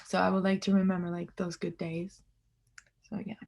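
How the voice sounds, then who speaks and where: Gravelly-voiced teen girl. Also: lowish voice, level tone, normal speed, darkish tone, lo-fi sound. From Argentina. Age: teens. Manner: casual, full of likes. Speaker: student panelist.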